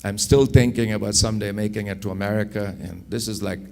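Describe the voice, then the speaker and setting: A man in his sixties from Afghanistan with an Afghan accent, telling personal stories in an unhurried, anecdotal way, repeating phrases for emphasis. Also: lowish voice, steady tone, gravelly, warm tone. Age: 60s. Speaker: speaker telling his life story. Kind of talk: personal storytelling.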